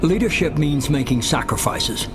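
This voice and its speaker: A man's voice: on the high side, animated, moderate pace, over music, lightly acted. A man playing a shrewd, powerful middle-aged mastermind in a video game, persuasive and authoritative.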